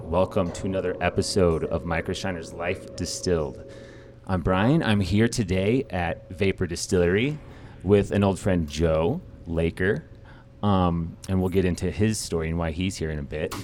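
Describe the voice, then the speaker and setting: A man's voice: deep voice, darkish tone, a little hiss or hum. A man hosting a podcast in a casual, conversational style. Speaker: podcast host.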